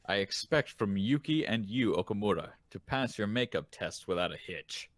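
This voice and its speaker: A man with a medium-pitched voice that moves up and down a lot takes part in a casual comic read-aloud. He is recorded very dry and close. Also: animated, moderate pace, dry recording. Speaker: co-host reading manga dialogue aloud.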